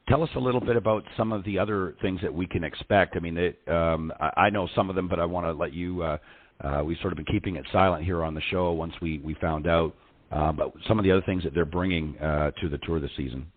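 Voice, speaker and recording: Hoarse deep-voiced male show host. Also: brisk. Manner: relaxed, filler-heavy interviewer. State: slightly hoarse, gravelly. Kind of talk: casual radio show hosting. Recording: phone-like narrow band audio.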